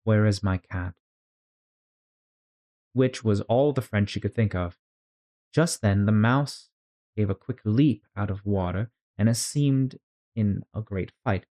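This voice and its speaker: A man reading a children's story aloud as host, in an expressive, theatrical way. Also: fairly low pitch, a little slow.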